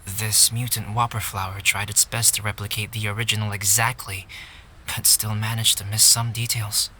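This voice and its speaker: Deep, crisp, analytical young man. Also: flat delivery, sharp tone, a little hiss or hum. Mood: calm, analytical. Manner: measured, articulate, formal wording. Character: calm, analytical young man explaining his deductions. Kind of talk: video game cutscene voice acting.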